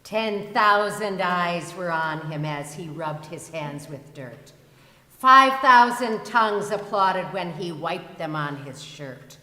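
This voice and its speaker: Female reader, lower-pitched and forceful. Dramatic verse recitation in a reverberant hall. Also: lively intonation, slow pace. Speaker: readers theater performer. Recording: very echoey hall, long reverb.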